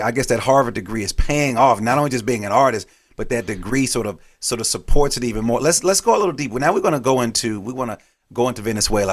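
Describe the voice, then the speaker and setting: A man hosting an interview, asking quick, enthusiastic questions with small restarts. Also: fairly low pitch. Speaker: podcast host.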